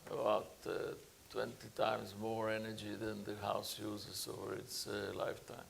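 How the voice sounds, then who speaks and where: A man speaking on a conference panel as a professor, halting often with fillers and restarts. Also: lowish voice, monotone, vocal fry, slight background noise.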